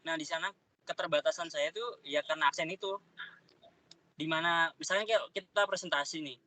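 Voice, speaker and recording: A young man, a trader, answering interview questions in a relaxed, slangy, chatty way. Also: fairly high pitch, brisk, no room sound, rough recording. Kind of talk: casual interview chat.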